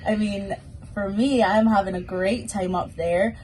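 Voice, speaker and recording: A woman's voice: medium pitch, steady tone, unhurried, a little mellow, slight background noise, rough recording. A Scottish woman, a pantomime performer, chatting informally as she takes questions from children. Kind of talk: casual spoken question-and-answer for children.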